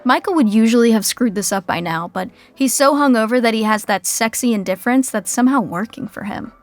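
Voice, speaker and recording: A woman's voice: on the high side, brisk, natural voice. A woman playing the wry narrator of a scripted sitcom.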